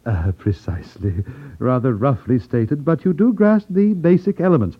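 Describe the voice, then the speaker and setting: A man's voice: mid-range voice, normal speed, husky, close to an everyday voice. Man playing a suave elderly racket boss, tinny recording. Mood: brisk, businesslike. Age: older. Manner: over-polite, fussy, hesitant ums, condescending. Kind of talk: 1950s radio drama acting. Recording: tinny, narrow-band vintage radio recording.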